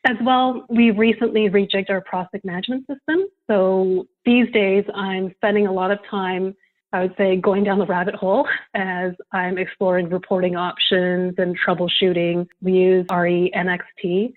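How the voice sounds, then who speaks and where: A young adult woman from Canada, a guest answering interview questions in a measured, explanatory way. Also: medium pitch, little pitch movement. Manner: measured, explanatory, occasional soft laugh. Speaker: guest, solo prospect researcher. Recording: tinny phone-like line.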